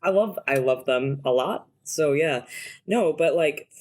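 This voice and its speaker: Female co-host, husky smoker's rasp, chatty and quick to laugh, casual podcast talk. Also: deep voice, darkish tone. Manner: chatty, laughs easily, storytelling. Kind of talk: casual chat.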